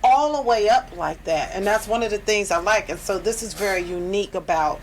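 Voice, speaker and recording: A woman talk show guest chatting excitedly and telling a story with laughter. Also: medium pitch, brisk, a little hiss or hum, low-quality recording. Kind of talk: casual chat, storytelling.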